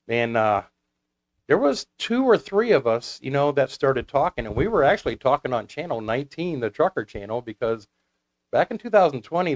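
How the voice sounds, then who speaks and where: A man's voice: mid-range voice, muffled recording. Man, American accent, casual interview guest, chatty storyteller, mid-range voice. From United States. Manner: chatty, anecdotal. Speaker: guest, CB radio club founder.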